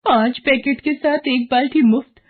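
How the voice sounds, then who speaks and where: A woman's voice: sits high, steady tone, normal speed, pitched above the performer's own voice. A woman voicing a greedy, complaining young wife and mother in a cartoon story. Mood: eager. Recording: narrow phone-like sound.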